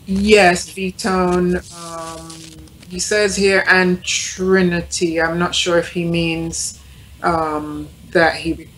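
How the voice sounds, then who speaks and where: A woman's voice: on the low side, little pitch movement, very slow, a bit bright, a little hiss or hum, rough recording. A woman on a radio panel, speaking casually in a polite, hesitant manner as she relays listener comments. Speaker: panelist reading chat comments.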